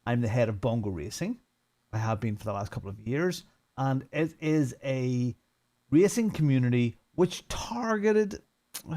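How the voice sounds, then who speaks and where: A man's voice: medium pitch, darkish tone. A man in his fifties with a Northern Irish accent, giving casual, unscripted first impressions of a racing game in a chatty, informal way. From Northern Ireland. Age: 50s. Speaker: sim racing streamer and community head.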